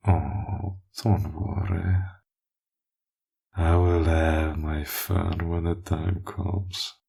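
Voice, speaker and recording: A man playing a smug, possessive villain in an audio roleplay, flirtatious and menacing, with a husky, breathy voice and a slow, teasing delivery that dips toward whispers. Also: deep voice, little pitch movement, warm tone, exaggerated voice. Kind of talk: roleplay audio acting, intimate monologue.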